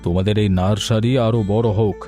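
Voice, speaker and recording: Cartoon village headman played by a man: fatherly, encouraging, lowish mellow voice, measured blessings, music underneath. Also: fairly even intonation, lightly acted. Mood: pleased, benevolent. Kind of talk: cartoon moral story voice acting.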